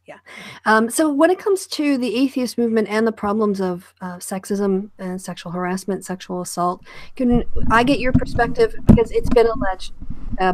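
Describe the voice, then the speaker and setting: Female talk host, mid-pitched, conversational and measured, very dry recording. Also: no room sound, rough recording. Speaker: host of an online video channel. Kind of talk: conversational panel interview.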